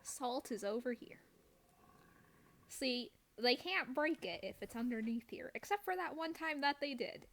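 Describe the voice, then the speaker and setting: A woman's voice: high-pitched, animated, a little slow, a bit bright. A woman hosting a game stream, chatting casually about play in a playful, self-deprecating way. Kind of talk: casual live gameplay chat.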